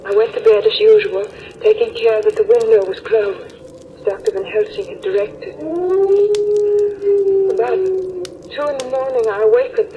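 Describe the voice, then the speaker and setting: An actress playing a frightened, frail young lady under a vampire's curse, breathy and melodramatic in 1930s radio horror style, on a very noisy old recording. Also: mid-range voice, moderate pace, long pauses, dull audio, lightly acted. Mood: alarmed.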